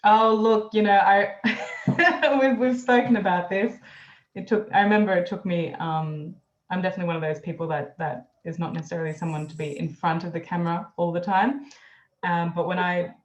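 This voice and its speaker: A woman's voice: lowish voice, fairly even intonation, fairly quick, a little hiss or hum. A woman hosting an interview in a friendly, easygoing way, laughing often and recapping her guest's points. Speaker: interviewer host. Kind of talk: casual interview chat. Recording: roomy, dull lo-fi sound.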